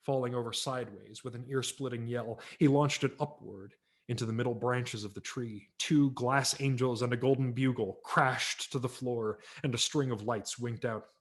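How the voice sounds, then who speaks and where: A man's voice: mid-range voice, fairly even intonation, normal speed. A male audiobook narrator with a mid-range voice reads a story aloud. His delivery is theatrical and wry, leaning hard on key words. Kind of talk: dramatic scripted story narration.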